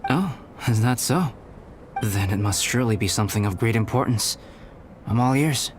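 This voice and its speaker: A man voicing a calm, cerebral young alchemist in a video game, speaking in a measured, formal and coolly polite way. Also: medium pitch, over music, natural voice. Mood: dryly amused.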